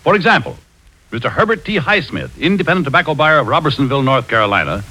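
Bright, highish-voiced male radio announcer. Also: animated, fast, poor audio. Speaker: commercial announcer. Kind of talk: 1940s radio cigarette ad read. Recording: old radio recording.

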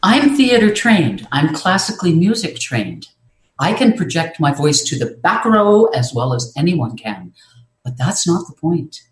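A speaking coach giving an instructive talk on presenting, measured and explanatory with occasional hesitations. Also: medium pitch, very animated. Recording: roomy echo.

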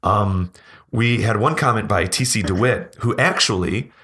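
A man hosting a podcast, talking casually and laughing often, his voice hoarse as if he is losing it. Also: fairly low pitch, unhurried, bright, forceful tone. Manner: chatty, laughing, rambling restarts. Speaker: podcast host.

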